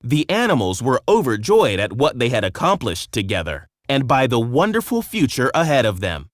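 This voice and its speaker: A man narrating a scripted children's storybook in an expressive, measured storytelling manner. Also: mid-range voice, no room sound, clean studio sound.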